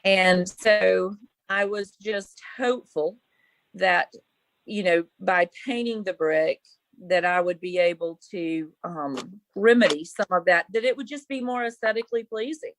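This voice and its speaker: Slow-talking woman, close dry sound. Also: on the low side, dry recording, low-quality recording. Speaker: applicant, homeowner at a public hearing. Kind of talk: informal explanation at a public meeting. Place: remote call into an online meeting.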